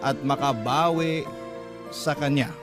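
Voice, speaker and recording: A narrator reading a personal letter in a confiding storytelling manner for a radio drama. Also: female voice, very deep voice, slow pace. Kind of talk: scripted narration. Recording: loud guitar music under voice.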